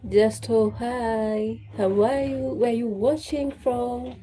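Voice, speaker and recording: A woman's voice: a little high, steady tone, slow, warm tone. A woman from Kenya with a cold, chatting casually with viewers of her livestream while washing dishes in her home kitchen. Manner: chatty, greets viewers, reads the live chat aloud. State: has a cold. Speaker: livestream host. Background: music.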